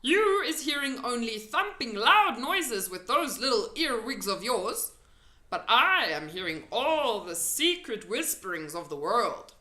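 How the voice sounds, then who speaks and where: Woman voicing an emphatic, dreamy gentle giant. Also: fairly high pitch, sing-song, a little slow, echoey room, full character voice. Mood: proud, boastful. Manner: quaint muddled grammar, emphatic delivery. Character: big gentle dreamy male giant, proud and earnest. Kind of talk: storybook character reading.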